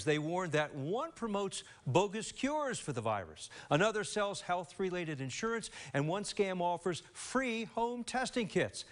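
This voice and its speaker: A man working as a consumer reporter, delivering a scripted broadcast news report in a deliberate, emphatic way. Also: on the high side.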